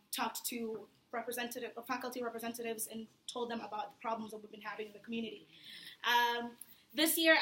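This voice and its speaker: Bright-voiced young woman giving a speech. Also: on the high side. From Tanzania. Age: young adult. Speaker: student election candidate. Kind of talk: informal campaign speech to an audience. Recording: echoey room with faint background noise.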